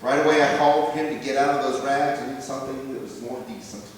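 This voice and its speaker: A man's voice: mid-range voice, fairly even intonation, quick pace, lightly acted. A man playing a loving, emotional father of grown sons in a church readers theater monologue, speaking in a heartfelt, reflective way. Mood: joyful. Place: large church room, live before congregation. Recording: very echoey church hall, distant, faint noise.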